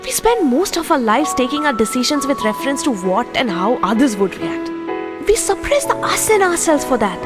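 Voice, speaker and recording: A woman's voice: sits high, very animated, normal speed. An actress playing a young woman from India with an Indian English accent, earnest and reflective in an audio drama. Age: young adult. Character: young woman Priya, hurt but composed. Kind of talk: amateur audio drama acting. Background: music.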